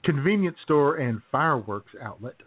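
A man's voice: medium pitch, expressive, a little slow, slight background noise. Phone-like American man, casual radio banter. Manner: jokey, chuckles often. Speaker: talk show co-host. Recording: tinny, phone-like band-limited sound.

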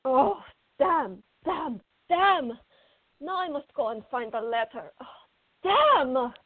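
Woman as a fiery, animated teenage girl, tinny sound. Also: sits high, slow pace, no room sound, exaggerated voice. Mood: frustrated. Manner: exclamatory, flustered, muttering to herself. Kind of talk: radio theater acting. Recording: tinny, phone-like narrow band.

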